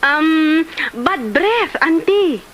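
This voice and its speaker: A woman's voice: sits high, animated, extremely slow, slight background noise, heavily acted. A woman with an Ilocano accent playing a breezy, eager-to-please niece in a comedy drama. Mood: obliging.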